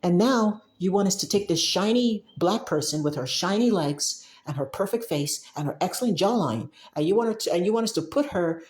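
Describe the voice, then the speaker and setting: A woman's voice: lowish voice, lively intonation, brisk, few pauses, a little mellow. A young woman from Cameroon, talking casually and emphatically to the camera, with restarts and frequent laughs. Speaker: software developer talking to camera. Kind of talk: casual monologue.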